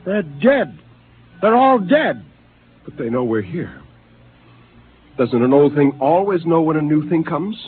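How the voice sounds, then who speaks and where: A man's voice: a little high, sing-song, a little slow, long pauses, husky, heavily acted. An actor playing Spender, an intense, moralizing crewman grieving a dead race, in a 1950s radio drama. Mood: grim. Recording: narrow-band vintage radio broadcast.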